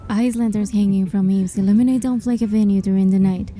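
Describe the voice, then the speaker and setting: A woman's voice: mid-range voice, steady tone, brisk, dark tone. A woman reading scripted first-person story narration as the heroine of a tale. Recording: music under the voice.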